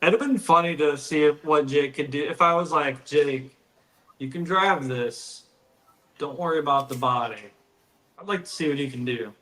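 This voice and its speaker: A man's voice: fairly high pitch, moderate pace, pushed and bright, echoey room. A man co-hosting a live stream in casual chat, easygoing and rambling, saying "dude" a lot and sounding tired.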